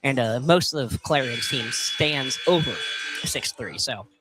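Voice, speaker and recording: Young male sports commentator, mid-pitched voice, live basketball analysis. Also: takes it slowly, heavy background noise. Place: indoor basketball field house.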